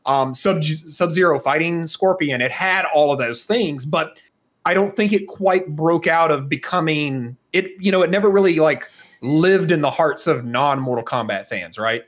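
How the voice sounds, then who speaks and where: A man's voice: on the high side, normal speed, a bit bright. A man co-hosting a podcast, talking casually and thinking aloud in a rambling, conversational way. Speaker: podcast co-host. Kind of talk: casual discussion of films and games.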